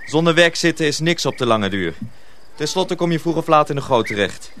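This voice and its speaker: A man playing a calm senior mill journeyman in a radio play, reassuring and gently instructive. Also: a little high, a little fast, slight background noise. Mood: calm, guiding.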